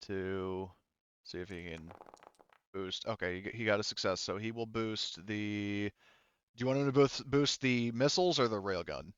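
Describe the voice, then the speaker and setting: A man's voice: on the low side, moderate pace, smooth, dry recording, highs cut off. A man running a tabletop game as game master, talking casually and hesitantly as he thinks through and explains the rules.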